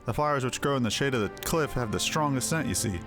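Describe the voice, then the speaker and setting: A man voicing a courteous, gentle blind man in a fantasy game, measured and reflective. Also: medium pitch, expressive, music in the background, natural voice. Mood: genial, musing.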